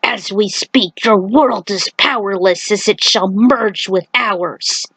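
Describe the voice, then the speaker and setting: A woman's voice: mid-range voice, slow, a bit bright, breathy edge, no room sound. A woman voicing a haughty male centaur warrior in a fantasy story, pompous and threatening. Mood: menacing.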